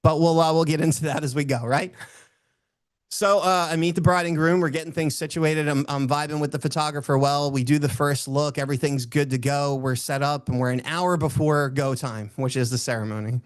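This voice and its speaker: Booming-voiced man giving a motivational talk. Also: steady tone, fairly quick, dry recording. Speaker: motivational speaker. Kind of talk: motivational talk with personal storytelling.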